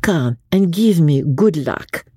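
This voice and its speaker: A woman's voice: low voice, lively intonation, slow pace, mellow, slightly rough, no echo, clean studio sound, voice pushed up, exaggerated voice. A woman voicing a wistful, sly little lady gambler from a storybook, coaxing and theatrical, with a foreign accent.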